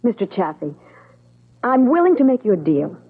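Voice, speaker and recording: An actress playing a defiant, sharp-tongued young woman, firm and indignant, in old-time radio drama style. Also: mid-range voice, big pitch swings, moderate pace, husky. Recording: dull, muffled old radio sound.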